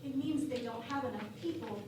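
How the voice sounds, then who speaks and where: A sensible, exasperated female starship officer, played by a woman in a parody radio play. Her high voice moves slowly and pointedly. The room is very echoey, with clear background noise. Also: far from the mic, natural voice. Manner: pointed, corrective.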